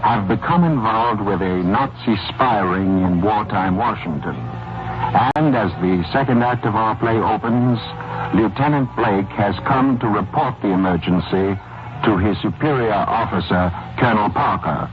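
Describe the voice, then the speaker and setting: A man's voice: lowish voice, moderate pace. An American man hosting and narrating a scripted 1940s radio mystery, on a muffled, noisy old recording. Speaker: host and narrator.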